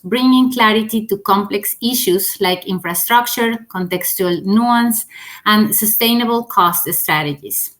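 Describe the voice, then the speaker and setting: Woman, podcast host, mid-pitched voice, enthusiastic, interview introduction. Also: fairly bright tone.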